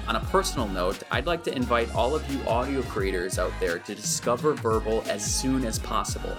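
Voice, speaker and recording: A man with an average-pitched voice delivers scripted podcast narration. He speaks as the host, addressing listeners directly. Guitar music plays clearly behind his voice.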